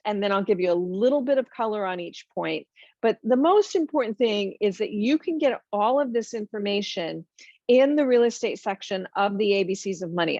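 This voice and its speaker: A woman's voice: medium pitch, a little mellow, no room sound, highs cut off. A woman hosting a finance webinar, talking informally and emphatically through numbered points with frequent ums and asides.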